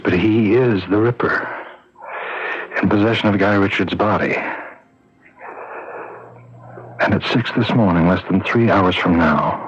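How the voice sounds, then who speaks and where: On a dull old radio recording, an actor plays a haunted male warden with a very low voice. He sounds grave and afraid, speaking haltingly as in a radio mystery play. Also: natural voice. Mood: haunted, insistent. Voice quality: husky. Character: haunted, fearful male prison warden confiding in his wife. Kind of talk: 1970s radio drama acting.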